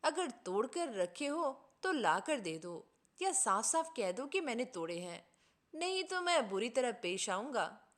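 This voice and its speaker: A woman voicing an indignant gentleman doctor from a storybook, in a dramatic, formal, emphatic manner. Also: a little high, very animated, a little slow. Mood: accusing. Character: indignant, melodramatic gentleman doctor, master of an orchard.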